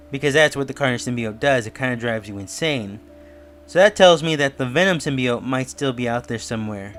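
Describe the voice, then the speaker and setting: A man's voice: medium pitch, fairly bright tone, smooth. Musing man reviewer over music. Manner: musing, speculative. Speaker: YouTube reviewer. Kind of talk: casual review and theory commentary.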